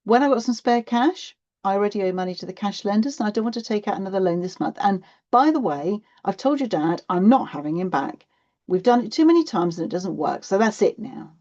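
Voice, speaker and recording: Audiobook role: tired, worried single mum, played by a woman narrator, blunt and firm, mellow tone, slightly muffled sound. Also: medium pitch, big pitch swings, moderate pace, pitched above the performer's own voice. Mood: blunt, weary. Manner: sighing, blunt, matter-of-fact. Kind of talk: acted children's audiobook dialogue.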